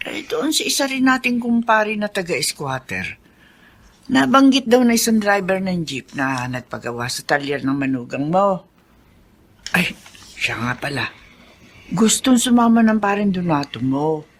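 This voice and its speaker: Folksy older friend, high-pitched, Filipino accent, talkative, radio drama, light background noise. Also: male voice, big pitch swings, unhurried, a bit bright, full character voice. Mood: friendly, apologetic. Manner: chatty, folksy, apologetic. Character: chatty older family friend visiting a sick friend.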